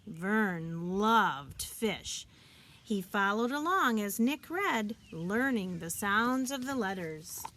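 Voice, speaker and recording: A woman reading a children's picture book aloud, expressively and deliberately, as a teacher would for young listeners. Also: mid-range voice, animated, fairly bright tone, a little hiss or hum. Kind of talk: children's storybook read-aloud.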